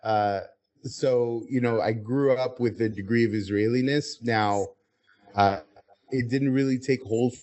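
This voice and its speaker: A man's voice: mid-range voice, a little slow, darkish tone. An American man speaking as an interview guest, articulate and explanatory. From United States, Southern California. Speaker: podcast guest. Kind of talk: interview conversation.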